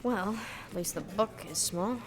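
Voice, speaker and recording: A woman's voice: mid-range voice, measured pace, a little hiss or hum, natural voice. A female performer playing a reluctant, unimpressed schoolchild in an audio drama, hesitant and unenthusiastic.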